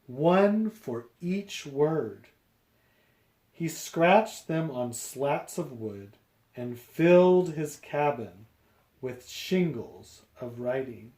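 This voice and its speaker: Male storyteller, unhurried, deliberate phrasing, children's read-aloud, medium pitch, echoey room. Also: expressive, darkish tone. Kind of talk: children's picture book read-aloud with explanatory asides.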